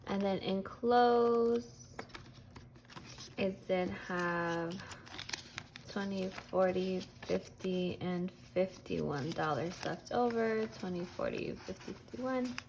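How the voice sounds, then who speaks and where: A hoarse woman with a gravelly, raspy voice talks casually as she counts bills aloud in a steady rhythm. Her pitch sits in an ordinary middle range. The recording carries clear background noise. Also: slow. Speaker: budgeting vlogger. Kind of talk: casual chat while counting cash. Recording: noisy home recording.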